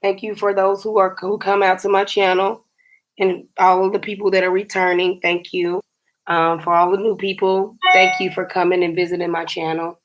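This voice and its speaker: Low, flat-voiced woman with a raspy edge. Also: moderate pace, low-quality recording. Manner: chatty and encouraging, often says "you guys". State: slightly scratchy, raspy voice. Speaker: tarot card reader. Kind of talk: casual tarot reading for viewers.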